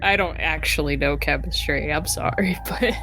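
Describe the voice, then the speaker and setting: A woman's voice: low-pitched, normal speed. A woman playing a tabletop roleplaying game, chatting casually with wry little jokes. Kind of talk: casual, joking table chat. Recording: music bed behind voice. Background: music.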